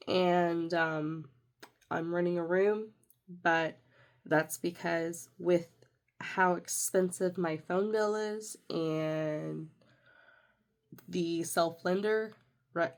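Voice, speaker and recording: A young woman with a congested, nasal voice from a sinus infection, chatting casually as she walks through her budget planner. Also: on the low side. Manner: chatty, rambling with fillers and self-corrections. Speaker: budgeting vlogger.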